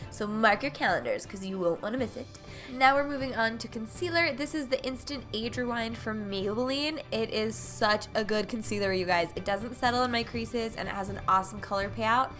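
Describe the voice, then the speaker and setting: A woman's voice: fairly high pitch, expressive, brisk, fairly bright tone. A young woman with a congested, stuffy voice from a cold, chatting upbeat through a makeup tutorial as a voice-over. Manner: chatty, upbeat product walkthrough. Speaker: beauty vlogger. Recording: faint music underneath.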